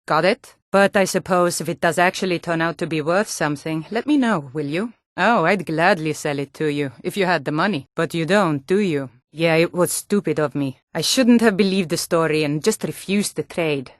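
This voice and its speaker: A woman's voice: low-pitched, moderate pace, few pauses, dry recording, studio quality, close to an everyday voice. A woman voicing a hardy, proud Nord warrior in a fantasy video game, speaking in an earnest, firm and plain-spoken way. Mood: fed up, grudging.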